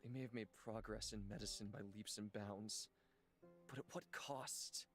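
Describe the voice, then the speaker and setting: An actor voicing a gentle, weary immortal healer haunted by old suffering, speaking slowly and softly in a dramatic visual novel style. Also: male voice, lowish voice, steady tone. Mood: somber, reflective. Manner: slow, halting, soft-spoken confiding. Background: background music.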